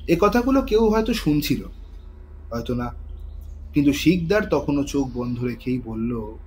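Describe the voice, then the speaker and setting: A man delivers scripted, dramatic narration for a thriller audio story. His pitch is medium. Faint atmospheric noise sits behind the voice. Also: expressive, moderate pace, dark tone.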